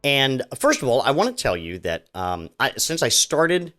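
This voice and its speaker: Middle-aged guy doing his solo podcast, sarcastic and rambly, pitch jumps all over the place. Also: very animated, normal speed, pushed and bright, faint music behind. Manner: rambling, sarcastic, many restarts and fillers. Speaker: podcast host. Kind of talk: casual solo podcast monologue.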